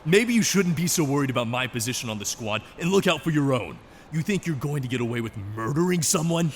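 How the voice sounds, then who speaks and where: A man's voice: fairly high pitch, expressive, fast, slight background noise. A man playing an indignant, sarcastic government agency official in a drama, confrontational in manner. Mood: angry. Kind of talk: dramatic dialogue.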